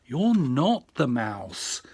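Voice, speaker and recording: Storybook monster child, female, bold and defiant, exclamatory, unhurried; played by a man. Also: mid-range voice, very animated, darkish tone, slightly rough, exaggerated voice.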